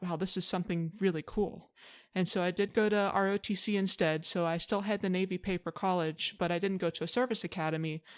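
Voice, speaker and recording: Fast-talking, smooth-voiced young woman. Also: lowish voice. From Hawaii. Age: late 20s. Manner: open, storytelling answers with fillers. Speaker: podcast guest. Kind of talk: conversational interview.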